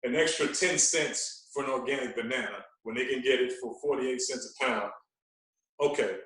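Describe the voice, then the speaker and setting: A very reverberant room surrounds this man's medium-pitched voice. He is a fitness coach guesting on a podcast, explaining things at length and punctuating his points with rhetorical check-ins. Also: moderate pace, a bit bright, far from the mic. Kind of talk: podcast conversation. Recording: very echoey room.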